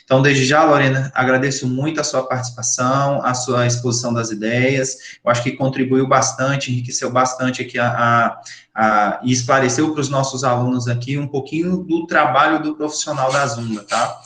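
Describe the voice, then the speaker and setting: A man's voice: mid-range voice, fairly bright tone. A man hosting an online academic event, thanking the speakers formally and courteously and repeating words as he goes. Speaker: event host and professor. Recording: echoey room.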